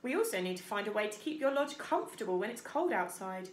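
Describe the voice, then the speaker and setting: A woman voicing a stubborn, gruff adult male beaver in a children's storybook, blustering and grudging. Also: mid-range voice, big pitch swings, quick pace, roomy sound, a little hiss or hum, close to an everyday voice. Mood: friendly, advising.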